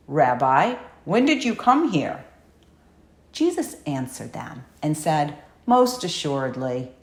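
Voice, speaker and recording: A woman narrator reading scripture from a script in a measured, solemn way. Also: low-pitched, echoey room. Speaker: audiobook narrator.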